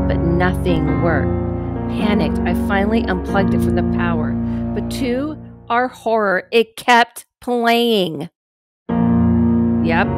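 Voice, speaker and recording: A woman in her thirties reading a paranormal story aloud, with casual asides, close to the mic in a dim room. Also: fairly low pitch. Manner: slow, measured reading with asides. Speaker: host reading a guest's story. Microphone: headset and studio microphone close up.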